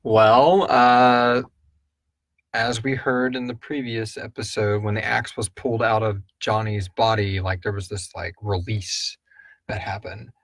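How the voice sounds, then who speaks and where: A man's voice: on the low side, flat delivery, unhurried, fairly bright tone, dry recording, low-quality recording. A man hosting a casual live stream, chatting informally and chummily with viewers in a rambling way. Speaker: podcast host answering listener questions.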